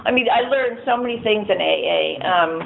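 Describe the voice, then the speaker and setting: A shy woman with a soft voice telling her recovery story at a meeting, rambling and laughing often as she acts out what others said. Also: on the high side, fairly quick, gravelly. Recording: tinny phone-like, faint noise.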